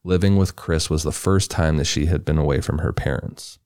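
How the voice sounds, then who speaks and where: Man, podcast co-host, very low voice, dry close mic, relaxed crime narration. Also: brisk, clear, resonant tone, no room sound. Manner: relaxed, loose reading with asides. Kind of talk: true crime narration with casual banter.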